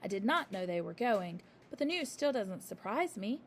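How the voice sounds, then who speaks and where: A woman reading a storybook aloud and voicing a gentle young teacher who speaks softly and calmly, over a faint hiss. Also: medium pitch, moderate pace. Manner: soft, calm, tender.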